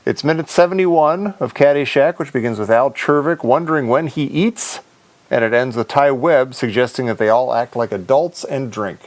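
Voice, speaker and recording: A man's voice: medium pitch, moderate pace. A man hosting a casual podcast chat, upbeat, laughing often and stumbling over his words. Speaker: podcast host.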